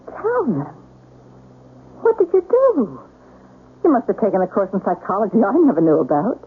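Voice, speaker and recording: An actress playing an exasperated, sharp-tongued wife in a 1970s radio drama, brisk and dryly dismissive. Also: female voice, mid-range voice, huge pitch swings, husky, full character voice. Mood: incredulous. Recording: tinny, noisy old radio broadcast.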